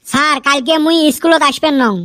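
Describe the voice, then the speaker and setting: A woman's voice: high voice, fairly quick, sharp tone, no room sound. A woman voicing cheeky, scheming village schoolboys in a comedy cartoon, arguing and wheedling with mock innocence in a Rajbongshi accent. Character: cheeky, scheming village schoolboy pupils, high-pitched and childlike. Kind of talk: Bengali comedy cartoon dubbing.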